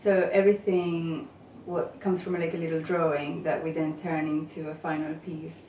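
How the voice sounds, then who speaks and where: A woman with a low, mellow voice answers interview questions in a relaxed, halting way, with lots of ums and restarts. She sounds set back from the microphone in an echoey room with faint background noise. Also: recorded from a distance. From England and Venezuela. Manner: hesitant, with many fillers and restarts. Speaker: guest, graphic designer.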